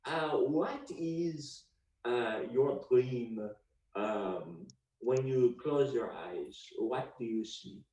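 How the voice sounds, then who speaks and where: A man's voice: mid-range voice, lively intonation, warm tone, distant mic. A man playing a pompous French bachelor who hosts a dating game, speaking in a put-on French accent in an improv comedy scene. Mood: hammy. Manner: theatrical, drawn-out questions sprinkled with French. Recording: roomy sound, away from the mic.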